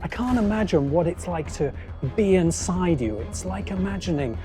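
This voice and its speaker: Guy narrating a video essay, dark mellow voice, deliberate pauses, faint music under him. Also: high-pitched, animated. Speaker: host, science fiction critic.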